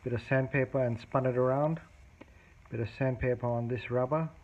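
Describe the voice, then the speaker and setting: Creaky-voiced man casually showing a gadget. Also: mid-range voice, steady tone, brisk, phone-quality sound. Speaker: hobbyist repairer demonstrating a cassette recorder. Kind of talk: casual unscripted demonstration. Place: indoors at a cluttered home table. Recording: noticeable background noise, amateur home video.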